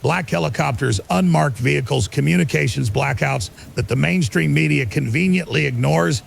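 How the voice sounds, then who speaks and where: Actor as high-pitched, creaky conspiracy radio ranter. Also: male voice. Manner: emphatic, accusatory. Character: male conspiracy broadcaster, urgent, paranoid, defiant.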